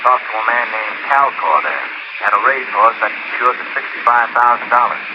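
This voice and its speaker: An actor playing a worried, businesslike insurance adjuster in a 1950s radio drama, on a noisy old recording. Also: male voice, mid-range voice, expressive, moderate pace, muffled recording, lightly acted.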